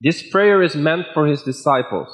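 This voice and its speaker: A man with a Dutch accent, a guest preacher giving a sermon in simple, plain English at a measured pace. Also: fairly high pitch, expressive.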